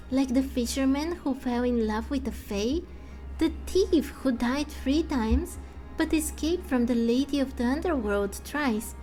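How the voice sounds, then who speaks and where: A woman's voice: sits high, warm tone, poor audio. A woman voicing a chatty, self-assured little girl from a game, telling stories in a confiding, matter-of-fact way. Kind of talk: fan voice-over of game dialogue.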